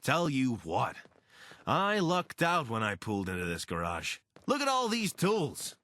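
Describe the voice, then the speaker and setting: An actor playing an anxious, wry deserter soldier and pilot in a video game, speaking in a conversational, earnest way. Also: male voice, medium pitch, wildly swooping pitch, unhurried, sharp tone, heavily acted. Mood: easygoing. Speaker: voice actor for a companion character. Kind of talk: video game character dialogue.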